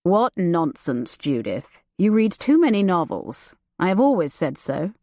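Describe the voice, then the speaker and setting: A woman voicing a reserved young gentleman, curt and dry. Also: lowish voice, very animated, unhurried, no room sound.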